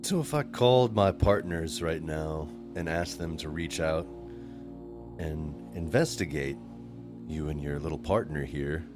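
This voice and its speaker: A man's voice: very deep voice, measured pace. A man taking part as a player in a tabletop role-playing game, talking casually and in character. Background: music.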